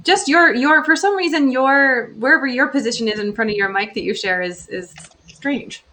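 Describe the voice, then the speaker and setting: A woman's voice: high voice, moderate pace, pushed and bright. A woman co-host making brief, friendly interjections on a casual live stream.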